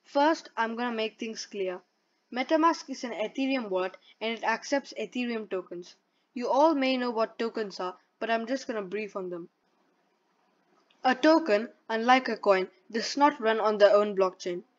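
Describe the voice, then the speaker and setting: A woman's voice: a little high, moderate pace. A woman presenting a step-by-step tutorial in a plain, instructional way, reading from a script. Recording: dull lo-fi voice-over.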